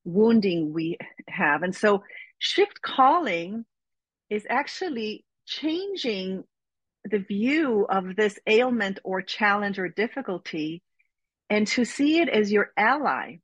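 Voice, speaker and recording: A woman's voice: medium pitch, very animated, breathy edge, no room sound, dull audio. A middle-aged woman from Israel, a spiritual teacher speaking as an interview guest in an earnest, reflective way. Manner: earnest, reflective, slow with emphatic phrasing. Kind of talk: interview conversation.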